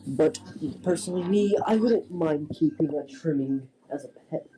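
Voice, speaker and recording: A man's voice: fairly high pitch, moderate pace, warm tone. A man co-hosting a casual reaction chat, making brief, matter-of-fact remarks. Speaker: reaction video co-host.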